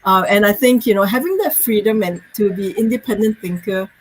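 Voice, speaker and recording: A woman's voice: mid-range voice, a little fast, husky, poor audio. A woman in her thirties from Malaysia, a guest on a video-call interview, talking casually with frequent ums and laughs.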